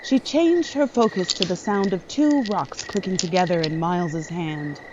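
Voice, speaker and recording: A woman's voice: on the low side, moderate pace. A woman narrating a horror audio drama from a script. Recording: slightly dull audio, faint noise. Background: night crickets and frogs.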